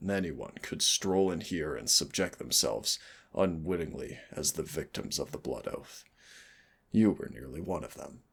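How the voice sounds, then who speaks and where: A man voicing a brooding demon prince who is tender toward his hunter lover, gravelly and husky, slow and intimate in a romantic audio roleplay. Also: sits low, exaggerated voice. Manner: slow, dramatic, intimate delivery. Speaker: voice actor.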